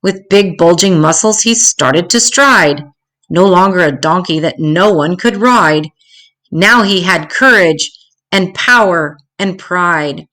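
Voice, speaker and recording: An older woman reading a rhyming children's story aloud in an expressive, dramatic, deliberate way. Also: medium pitch.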